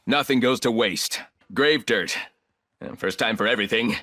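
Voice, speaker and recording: An actor playing an arrogant, ruthless, calculating corporate villain in a video game, speaking in clipped, condescending lines. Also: male voice, mid-range voice, normal speed, sharp tone. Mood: dry, disdainful.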